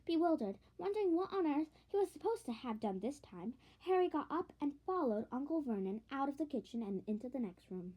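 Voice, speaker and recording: A woman reading a storybook aloud as narration, expressive, with the occasional stumble over a phrase. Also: high voice, brisk, hardly any pauses. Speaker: audiobook reader.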